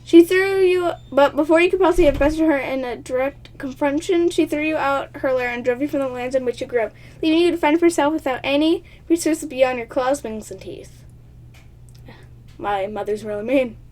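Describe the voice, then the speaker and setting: A woman host reading a text game aloud with chatty, excitable commentary, stumbling over words and laughing often. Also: high voice, a little fast. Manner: laughs often, talks at herself. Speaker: gaming channel host.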